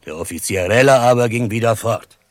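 A man's voice: medium pitch, brisk, no room sound, studio quality, natural voice. A male actor playing a dignified chief in a German radio drama, speaking in a solemn, ceremonious, declamatory storyteller's manner. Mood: solemn, recounting. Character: Matoshako, dignified Osage chief telling of past deceit.

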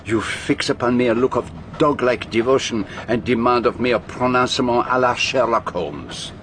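An actor playing a shrewd, grave foreign detective with a French accent and precise, formal phrasing in a radio drama. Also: male voice, mid-range voice, normal speed, a bit bright, slight background noise, lightly acted. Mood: dry, exasperated. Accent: French-speaking European.

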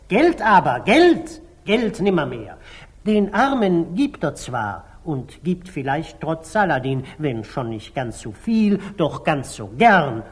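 An actor playing an excitable, blunt, grumbling dervish turned treasurer, agitated and theatrical in 1940s German radio drama style. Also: male voice, fairly high pitch. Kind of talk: 1940s German radio stage-classic acting.

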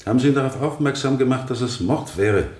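An actor playing a shrewd defence attorney in a radio courtroom drama, questioning formally and deliberately. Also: male voice, medium pitch, darkish tone, lightly acted. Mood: pointed, formal. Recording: roomy, faint noise, vintage radio production.

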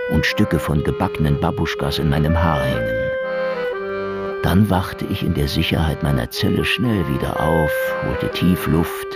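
An actor playing a sardonic, world-weary ex-agent in a noir radio drama, brooding and deadpan in his delivery. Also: a bass voice. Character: world-weary, sardonic hard-boiled man, jailed ex-state-security agent. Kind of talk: noir first-person radio drama narration. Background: music.

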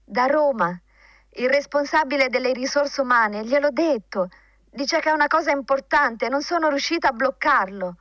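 Dutiful secretary, businesslike, defensive, fairly high voice, slightly muffled band-limited sound, radio play. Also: female voice, normal speed, fairly bright tone, dry recording. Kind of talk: radio drama acting.

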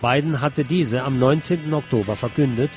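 Male radio host reading news pieces aloud, measured, dry sarcastic asides, soft music under him. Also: medium pitch.

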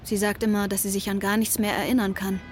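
A woman's voice: mid-range voice, level tone, fast talker, faint music behind, close to an everyday voice. A young woman playing an introspective daughter who narrates her own journey in a reflective, literary radio drama style.

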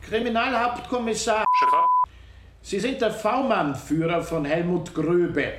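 Brief: a court judge, high lively voice, slow and deliberate, echoey room. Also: male voice. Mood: formal. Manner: deliberate, formal questioning. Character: presiding judge questioning witnesses.

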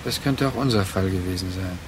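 An actor in a literary radio drama playing a brooding man who addresses his remembered former lover in halting, reflective phrases. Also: low voice, natural voice. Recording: clear noise behind the voice.

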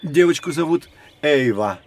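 An actor playing a learned professor, explaining in a deliberate storytelling way in an educational audio drama. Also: male voice, on the high side, animated, darkish tone. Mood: patient, instructive. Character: learned male professor guiding children through prehistory.

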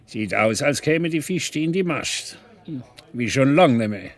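A man's voice: mid-range voice, animated, unhurried. Scoffing southern German countryman. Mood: grumbling. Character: scornful, disbelieving rural man, quick to scoff. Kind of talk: German radio drama acting.

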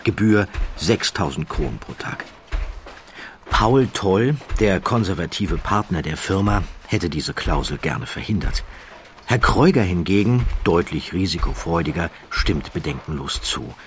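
A man narrating a scripted documentary story in a measured, dramatic way with deliberate pauses. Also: low voice, big pitch swings, a little hiss or hum.

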